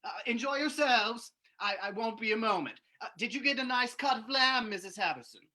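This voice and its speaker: A man's voice: very high-pitched, fairly quick, bright, forceful tone, full character voice. A man playing a genteel, solicitous hotel host with a courtly, slightly hesitant manner in an old-time radio play. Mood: genial, courtly.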